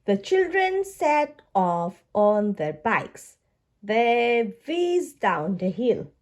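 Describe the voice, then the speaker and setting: A woman reading a storybook aloud to young children in an expressive, deliberate way. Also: fairly high pitch. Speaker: children's book read-aloud narrator.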